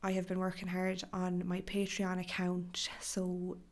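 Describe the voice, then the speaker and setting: Irish woman, podcast host, hoarse and crackly from a cough, gentle and chatty. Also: fairly low pitch, monotone, unhurried. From Ireland. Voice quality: crackly, breathy in places. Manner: gentle, chatty, with fillers and restarts. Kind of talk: solo podcast talk.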